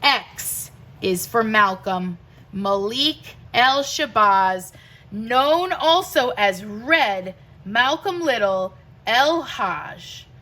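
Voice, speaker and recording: Teacher lady doing a kids book read-aloud, really slow and deliberate, bright clear-cut emphasis on the rhymes. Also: on the high side, lively intonation. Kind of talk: expressive read-aloud of a rhyming picture book.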